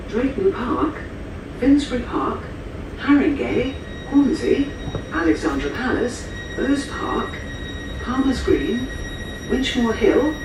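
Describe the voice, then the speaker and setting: A female announcer reads out stops slowly with a lively lilt over a train PA. Her voice is distant and heavily echoed, amid clear carriage noise. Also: on the high side. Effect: train PA speaker. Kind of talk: scripted station announcements. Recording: very echoey, noisy train PA from afar.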